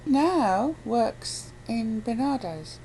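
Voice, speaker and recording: A British woman chatting casually as an interviewee, giving a rambling, halting and blunt account. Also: on the high side, animated, warm tone, a little hiss or hum, low-quality recording. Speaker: interviewee, abuse survivor campaigner.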